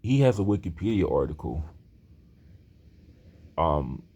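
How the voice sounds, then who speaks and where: A man's voice: low voice, sing-song, unhurried, dark tone, slightly rough, muffled recording. A man in his thirties talking in a rambling personal monologue, earnest and hesitant, with many restarts. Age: 30s. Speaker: aspiring screenwriter talking to camera.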